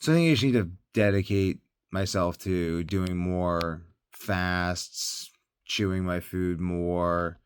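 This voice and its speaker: A man with a deep, clean voice, recorded close and dry. He sounds tired as he rambles casually like a vlogger. He hesitates, thinks aloud and often lets his sentences trail away. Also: clean tone, dry recording. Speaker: health vlogger.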